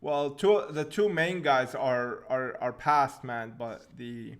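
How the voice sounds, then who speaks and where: A relaxed young man hosting a live chat stream, answering questions off the cuff. He talks at a middling pitch, laughs often, and repeats words as he starts sentences. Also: slow pace. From Syria, raised in Canada.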